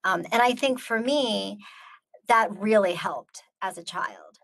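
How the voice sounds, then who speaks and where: Casting: middle-aged American woman, guest speaker on a video call, earnest, measured and reflective, mid-pitched voice. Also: creaky, fairly bright tone, low-quality recording. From United States. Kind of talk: earnest talk answering audience questions.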